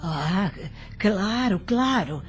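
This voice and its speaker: A woman playing a hospitable, deferential mother in an audio drama, with a husky voice. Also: medium pitch, lively intonation, drawn-out words, faint music behind. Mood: welcoming. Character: welcoming, deferential mother and hostess Yolanda. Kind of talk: audio novela acting.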